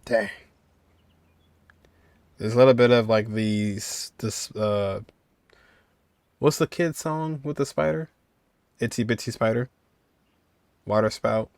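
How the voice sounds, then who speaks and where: A man with a mid-pitched voice gives casual game playthrough commentary. He talks informally, thinking aloud as he goes, and the sound is close and dry. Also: no room sound. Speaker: game streamer.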